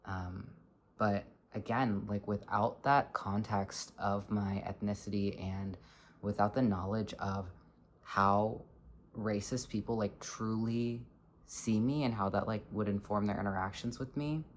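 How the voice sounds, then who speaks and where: A person in their twenties with a low voice, talking earnestly in a halting, reflective personal vlog. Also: fairly even intonation, slight background noise. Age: 20s. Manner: earnest, halting, searching for words. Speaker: vlogger.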